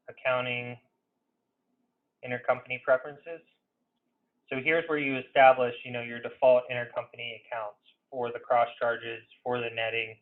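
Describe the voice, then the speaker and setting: Over a thin, phone-like webinar line, a man walks through a software demo in an informal, conversational way. His intonation stays flat and his pitch sits in a middle range. He speaks with some hesitation and leans often on "you know". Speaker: consultant presenting a software webinar. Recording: narrow phone-like webinar audio.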